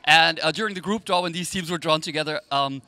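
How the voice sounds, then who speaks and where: Man, Austrian accent, native German speaker, very bright voice, fairly high pitch, chatty sports commentary. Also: fast talker. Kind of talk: live quadball match commentary, conversational.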